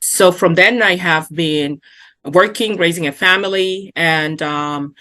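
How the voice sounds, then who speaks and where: Guest: middle-aged woman, native Arabic speaker, deep yet bright voice, halting and repetitive. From South Sudan. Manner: halting, repeats words, many fillers. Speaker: program alumna and epidemiologist. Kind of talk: recounting career path in a webinar.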